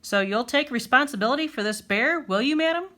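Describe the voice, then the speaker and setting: Picture-book police chief, voiced by a woman: blustery, commanding, forceful, swooping delivery. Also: high-pitched, expressive, a little fast, pitched above the performer's own voice. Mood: stern. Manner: commanding, shouting.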